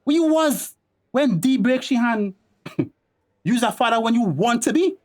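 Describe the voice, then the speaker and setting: A man's voice: very high-pitched, expressive, unhurried, darkish tone. A man playing a defensive, indignant stepfather with a Tobagonian accent in a radio drama. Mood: furious. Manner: combative, Creole phrasing. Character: defensive, indignant common-law husband and stepfather.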